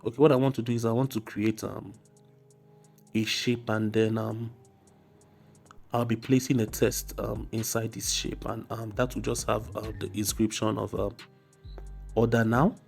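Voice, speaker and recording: A man with a slightly hoarse voice from a cold narrates a casual software tutorial, explaining step by step with frequent hesitation. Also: lowish voice, flat delivery, moderate pace, pauses often. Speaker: graphic design tutorial host. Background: faint music.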